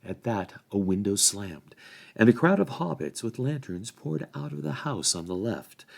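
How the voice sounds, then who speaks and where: A man reading a fantasy storybook aloud as an expressive narrator. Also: mid-range voice, normal speed, gravelly. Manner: acts out dialogue with changed voices. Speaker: volunteer story reader.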